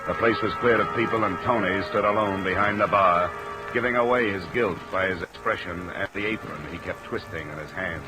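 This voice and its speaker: A man's voice: deep voice, a little fast, close to an everyday voice, low-quality recording. A man playing a tough, terse investigator in old-time radio detective drama, matter-of-fact, with rain behind. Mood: terse narration. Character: tough, clipped male investigator narrating his own case. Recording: vintage radio recording under loud music and rain. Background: music and rain.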